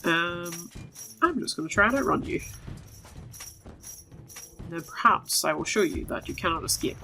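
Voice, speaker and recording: Bright-voiced woman playing a game, music behind. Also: deep voice, vocal fry. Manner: playful, talks to game characters. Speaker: video game streamer. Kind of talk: casual gameplay commentary and reading out game dialogue.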